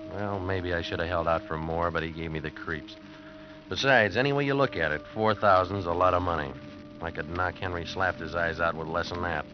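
Actor as a low-voiced hard-boiled American fugitive. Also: male voice, close to an everyday voice. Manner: clipped, wry, tough. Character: hard-boiled American fugitive man narrating his own adventure. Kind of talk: 1950s radio drama acting and narration. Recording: dull vintage radio recording with music.

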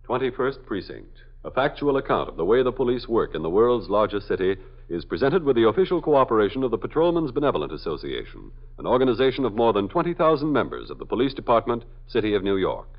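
Formal male announcer, low animated voice, lo-fi 1950s broadcast. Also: fast. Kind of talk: radio closing announcement. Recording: lo-fi 1950s radio recording.

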